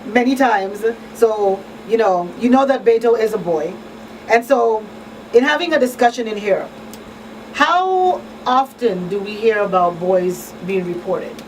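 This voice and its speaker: A woman's voice: medium pitch, expressive, a little slow. Earnest woman hosting, slightly distant mic. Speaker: radio talk show host. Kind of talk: conversational advocacy talk. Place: small radio studio. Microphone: boom-arm studio microphone. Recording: distant mic, faint room noise.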